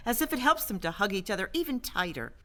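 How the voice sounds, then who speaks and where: A woman narrating a scripted audio drama in a measured storytelling manner. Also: mid-range voice, sing-song, a bit bright, slight background noise.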